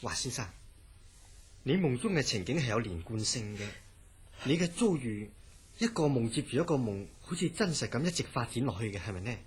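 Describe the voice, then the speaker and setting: Actor as animated, authoritative hospital director. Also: male voice, medium pitch, brisk, close to an everyday voice. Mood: probing. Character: composed male psychiatric hospital director, guarded and probing. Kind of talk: Cantonese horror radio drama acting.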